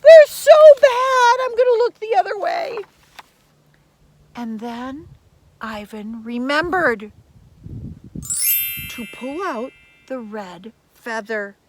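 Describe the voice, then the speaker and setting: An older woman reading a children's story dramatically, with emphatic drawn-out words and chatty asides to her listeners. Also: high voice, very animated, background noise. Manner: theatrical, emphatic, drawn-out adjectives. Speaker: teacher reading stories to children.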